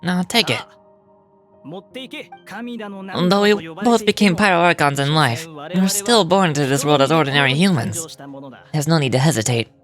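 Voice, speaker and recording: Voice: medium pitch, unhurried, pauses often, close to an everyday voice. Someone acting a solemn noble hero back from the dead, fantasy game, formal n reverent, music playing. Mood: solemn, encouraging. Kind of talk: scripted fantasy game dialogue.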